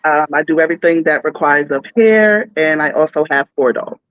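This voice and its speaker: A woman's voice: low voice, normal speed, clean tone, dull audio. A woman in her thirties with a New York accent, introducing herself on a live dating show in a chatty way. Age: 30s. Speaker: contestant.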